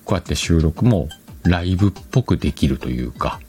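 A man's voice: deep voice, animated, a little fast, a little mellow, faint music behind. A man hosting a casual solo podcast, chatting colloquially, rambling and correcting himself, on a dedicated microphone. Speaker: podcast host.

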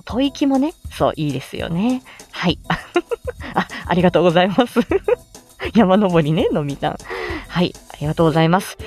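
Woman doing a chatty livestream, super animated intonation, encouraging, bit of music behind her. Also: mid-range voice. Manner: chatty, encouraging, often chuckling. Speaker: livestream host, amateur voice actor. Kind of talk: casual livestream chat giving beginner voice-acting tips.

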